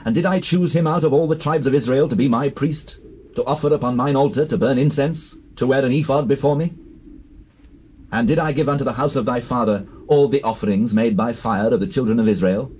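A man's voice: mid-range voice, brisk, darkish tone, close to an everyday voice, low-quality recording. Guy doing stern bible prophet, mid voice, solemn declaiming, old tape with some hiss. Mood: stern pronouncement. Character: stern prophet delivering God's judgement. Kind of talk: dramatized scripture reading. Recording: hissy old cassette recording.

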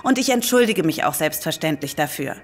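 A woman's voice: sits low, expressive. An actress playing an impatient young female scientist in a radio play. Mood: placating. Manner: brisk, placating then impatient.

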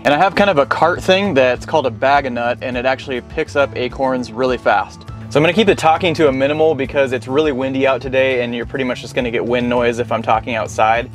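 A man's voice: mid-range voice, fast, few pauses, soft background music. An American man in his thirties talking casually and explaining things as a vlogger, on a clip-on mic. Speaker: foraging vlogger. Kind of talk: casual explanatory vlog talk. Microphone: clip-on wireless lapel mic.

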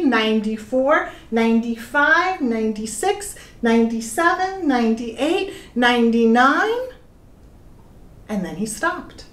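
A middle-aged woman reading a children's story aloud in a deliberate, expressive way, addressing young listeners. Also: on the high side. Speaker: pastor reading stories to children. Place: indoor room with plain walls. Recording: echoey room.

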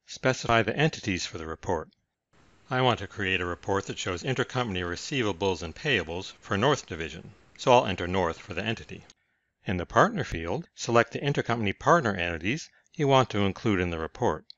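A man reading scripted software tutorial narration in a measured, instructional manner. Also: on the low side, expressive, no room sound, highs cut off.

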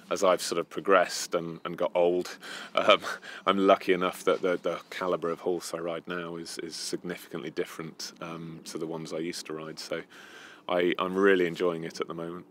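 A man's voice: low voice, a bit bright, a little hiss or hum. A man from North Wales answering interview questions outdoors, hesitant, with many ums and restarts. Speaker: event rider interviewee. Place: outdoor terrace by a house.

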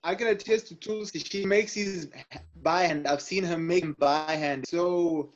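A man's voice: on the high side, measured pace. A young man hosting an online open mic over a video call, talking casually with many restarts and fillers. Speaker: host. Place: indoor room. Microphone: laptop webcam on video call. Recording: dull lo-fi video call audio.

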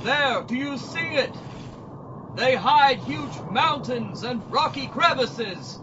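An actor playing an earnest, naive and dim-witted space hero in a parody pulp radio serial. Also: male voice, very high voice, expressive, slow pace, noisy background, full character voice. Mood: earnest wonder. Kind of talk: pulp audio drama parody acting. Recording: dull lo-fi audio under loud music.

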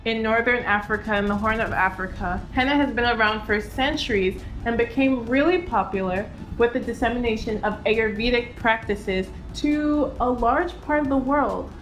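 A young woman in her twenties with a Nigerian accent, chatting about hair care in a lively, playful vlog with slangy asides. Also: on the high side, moderate pace, hardly any pauses, soft background music, low-quality recording. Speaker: natural hair vlogger. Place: indoor home studio.

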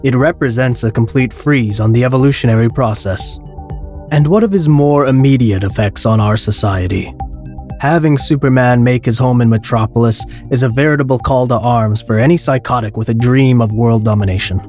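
A man's voice: mid-range voice, fairly quick, darkish tone, faint music behind, lightly acted. A man playing a scornful, calculating tycoon villain, articulate and condescending, in an audio drama debate. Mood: scornful, measured.

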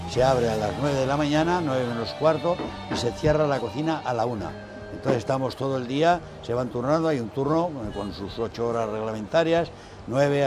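An older man from Spain, the owner, proudly showing visitors around his busy restaurant on a lapel mic. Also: medium pitch. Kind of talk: guided tour talk. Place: busy indoor restaurant dining room. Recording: background music under the voice.

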